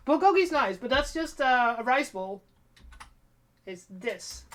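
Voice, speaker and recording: A woman's voice: high-pitched, lively intonation, slow, fairly bright tone, slight background noise. A woman in her forties chatting casually on a live stream while sewing, rambling and laughing at herself. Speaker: cosplay streamer.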